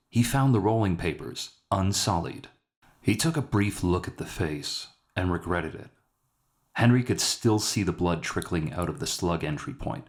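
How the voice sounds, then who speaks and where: A man reading a scripted dramatic short story aloud as its narrator. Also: sits low. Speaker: author narrating his own story.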